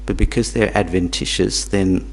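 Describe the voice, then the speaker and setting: A man born in Tanzania, teaching Buddhism to a small group in a halting, repetitive way, with questions for the audience. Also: low-pitched, steady tone, vocal fry. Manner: halting, repeats words, asks the audience questions. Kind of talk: dharma talk to a small group.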